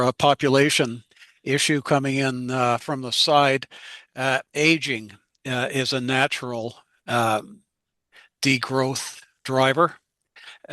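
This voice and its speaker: An older man asks a rambling question, full of uhs. His voice is bright and flat, with a dry, close sound. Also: mid-range voice, dry recording. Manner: rambling, filler-heavy. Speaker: audience member asking a question. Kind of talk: online Q&A question.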